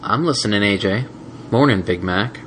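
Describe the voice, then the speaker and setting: A man's voice: fairly low pitch, moderate pace, fairly bright tone, slight background noise, lightly acted. Man doing a stammering young stallion. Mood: defiant. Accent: Southern US drawl, put on. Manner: stammering, earnest. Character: young stallion, nervous but defiant.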